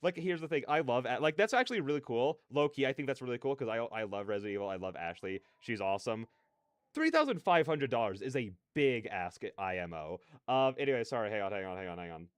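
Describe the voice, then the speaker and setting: A man's voice: mid-range voice, very animated, fast talker, smooth, dry recording, clean studio sound. A man hosting a livestream, chatting casually in a rambling, slangy, digressive way. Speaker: livestreamer hosting a reaction stream.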